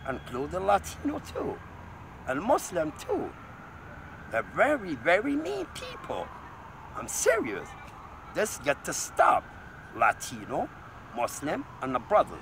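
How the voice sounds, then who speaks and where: A middle-aged man from Morocco with a Moroccan accent, talking in an agitated, rambling, repetitive way to a street camera. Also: fairly high pitch. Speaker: street interviewee. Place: city street outdoors. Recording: outdoor street with loud traffic noise.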